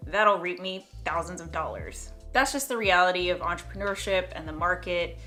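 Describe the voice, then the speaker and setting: A woman's voice: fairly low pitch, moderate pace, sharp tone, soft background music. A young woman vlogger thinking aloud in a reflective monologue, hesitating, restarting and trailing off. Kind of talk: reflective talking-head vlog monologue.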